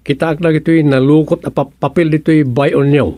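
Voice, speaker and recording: Higher-voiced, exasperated village everyman played by a man. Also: steady tone, fairly quick, mellow, lightly acted. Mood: puzzled. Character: helpful, exasperated village everyman questioning his elder. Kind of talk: Ilocano radio comedy drama acting.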